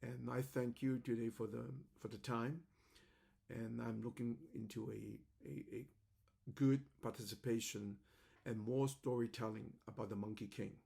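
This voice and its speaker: Gravelly older man, rambling and reflective. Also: mid-range voice, steady tone, moderate pace, mellow. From China (Shanghai and Macau). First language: Cantonese. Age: 70s. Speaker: storyteller addressing his online audience. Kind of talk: personal video monologue.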